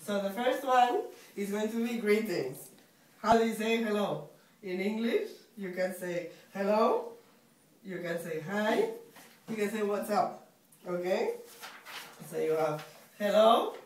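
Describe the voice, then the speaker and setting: A young woman from Spain teaching a beginner English class, speaking slowly and patiently and repeating each question for her students. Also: mid-range voice, expressive, darkish tone. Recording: echoey room, far from mic, faint hiss.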